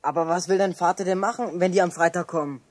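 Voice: medium pitch, steady tone, fast, close to an everyday voice. A performer playing a resourceful, confident youngster who leads a group of friends in a radio play. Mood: scheming, confident.

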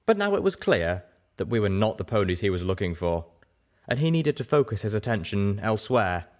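A man reads a story aloud as an audiobook narrator. His voice is on the low side and moves widely in pitch, giving the scripted prose a dramatic shape. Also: sing-song, brisk.

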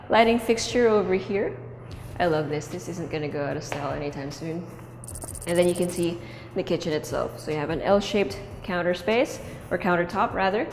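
A woman's voice: on the low side, a little hiss or hum. A young woman with a Filipino accent hosting a casual walkthrough tour of an empty house, chatty and switching languages mid-sentence. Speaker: real estate tour host. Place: indoors and outdoors in an empty house.

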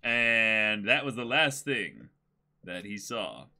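A man in his twenties giving a theatrical, excitable dramatic reading mixed with casual banter as a co-host. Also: fairly low pitch, takes it slowly. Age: 20s. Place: indoor room, seated.

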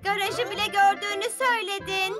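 A woman's voice: very high voice, fairly even intonation, fairly quick. A woman voicing an eager, childlike little bus in a children's cartoon dub, exclamatory and easily dismayed. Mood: worried. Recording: music behind the voice.

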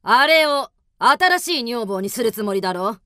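An actress playing a jealous, sharp-tongued chieftain's wife in a radio drama, brassy and knowing. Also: female voice, on the high side, animated, moderate pace, smooth, no room sound, clean studio sound. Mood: jealous, scolding.

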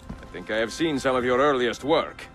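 An actor playing an older Italian master assassin, courteous and grave, with an Italian accent and measured, formal delivery in a video game. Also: male voice, medium pitch, animated, fairly bright tone, soft background music, natural voice. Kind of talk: cinematic video game acting.

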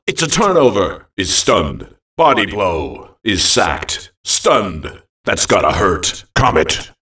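A man's voice: on the low side, gravelly, fairly bright tone, roomy sound, far from the mic, heavily acted. A man playing a bombastic fantasy arena announcer in a video game, calling out short, emphatic phrases theatrically. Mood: excited, hyped. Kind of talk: dramatic arena-announcer voice lines.

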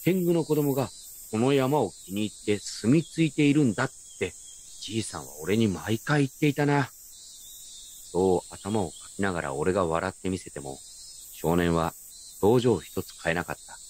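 A man playing a gentle, nostalgic grown man who narrates his memories in a reflective, unhurried radio drama style. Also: lowish voice, animated. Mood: surprised, recalling. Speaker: narrator and lead actor. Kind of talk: first-person radio drama narration with character dialogue.

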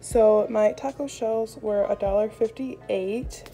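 A woman's voice: medium pitch, fairly even intonation, takes it slowly, dark tone, slight background noise, poor audio. A young American woman talking casually and chattily to viewers as she narrates a vlog. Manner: chatty, informal. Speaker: vlogger. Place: indoors in a home kitchen. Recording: music playing behind the voice. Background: music.

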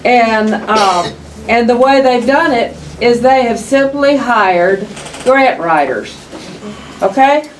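An older woman, a county justice of the peace, speaking loudly and plainly at a public meeting and reading out figures. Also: on the high side, animated, slow. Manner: loud, plainspoken, reads figures aloud. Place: county meeting room, around a long table. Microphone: table microphone, camera across the room. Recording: distant mic, faint room noise.

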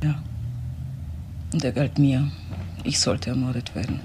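A woman's voice: very deep voice, flat delivery, unhurried, noisy background. An actress playing an uneasy, guarded young woman in a German radio crime drama, answering tersely. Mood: subdued, fearful. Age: young adult.

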